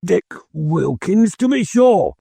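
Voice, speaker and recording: A man voicing an old miserly man, exclaiming excitedly, in an audiobook. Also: low voice, a little slow, dry recording, studio quality. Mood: fond. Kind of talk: audiobook character voice.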